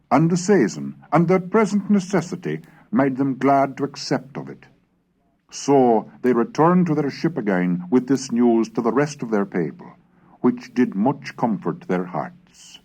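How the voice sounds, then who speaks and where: A man reading scripted historical narration in a formal, measured, expressive manner, as the narrator of a recorded lesson. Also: mid-range voice, slightly rough.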